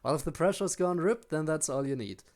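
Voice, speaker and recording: Casual, rambling game streamer, mid-pitched voice. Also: lively intonation, fairly quick, darkish tone. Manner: rambling, chatty asides to viewers. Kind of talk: casual live stream chat while playing a game.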